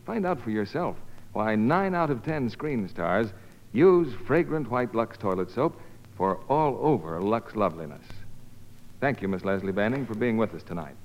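An American man giving a polished 1950s radio sponsor pitch as an announcer. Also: lively intonation, moderate pace. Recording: muffled noisy old radio, long hall reverb.

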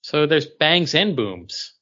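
Bright-voiced man, dull lo-fi recording. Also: fairly high pitch, big pitch swings, takes it slowly. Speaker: comics podcast co-host. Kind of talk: casual chat reviewing comics. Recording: dull lo-fi sound.

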